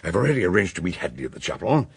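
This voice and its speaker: Gravelly, swooping theatrical British doctor-sleuth. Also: male voice, sits low, very animated, rapid-fire, heavily acted. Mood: instructive, dramatic. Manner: pompous, dramatic, declamatory. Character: eccentric, theatrical doctor sleuth, pompous older Englishman. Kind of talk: radio drama acting.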